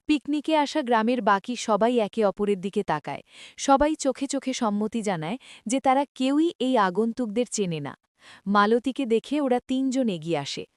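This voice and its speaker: A woman's voice: fairly high pitch, animated, moderate pace, no echo, studio quality. A woman narrating a cartoon story from a script.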